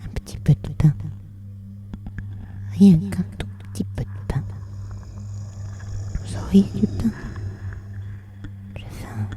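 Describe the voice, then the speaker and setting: A woman voicing a starving, frantic woman who pleads obsessively in a breathy whisper, in an audio drama. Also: fairly low pitch, very animated, slow, long pauses, warm tone. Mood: pleading. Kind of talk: French audio fiction acting.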